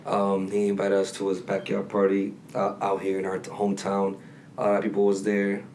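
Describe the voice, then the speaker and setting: A man's voice: lowish voice, completely flat delivery, brisk, darkish tone, slight background noise. A young man hosting a casual podcast, laid-back with loose slang. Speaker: podcast co-host. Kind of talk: casual chat.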